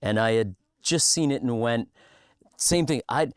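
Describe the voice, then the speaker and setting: A man's voice: medium pitch, measured pace, clean tone, no room sound, clean studio sound. A man who is a musician in an interview, chatting casually and thoughtfully, leaning emphatically on key phrases.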